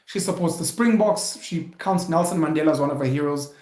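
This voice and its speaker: Male vlog host, South African accent, slightly high voice, explains opinions emphatically and deliberately, recorded in an echoey room. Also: a little mellow. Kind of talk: unscripted opinion commentary to camera.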